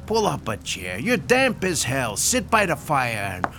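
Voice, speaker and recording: An actor playing a gruff 1930s Chicago mob boss with a Chicago accent, swearing and barking orders. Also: male voice, mid-range voice, wildly swooping pitch, a little slow. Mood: sly hospitality. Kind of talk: audio drama acting. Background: music score.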